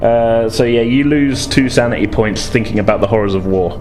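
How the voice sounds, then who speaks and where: A man's voice: mid-range voice, fairly quick, a little mellow, faint music behind. A man running a tabletop game as game master, casual, conversational and a little hesitant as he narrates.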